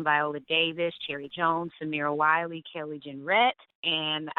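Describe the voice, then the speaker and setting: A woman's voice: on the low side, smooth, no echo. Woman telling stories over the phone. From Atlanta, Georgia. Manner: lively storytelling, laughs often. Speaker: actress, interview guest. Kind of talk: interview on the phone. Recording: tinny phone line, dry.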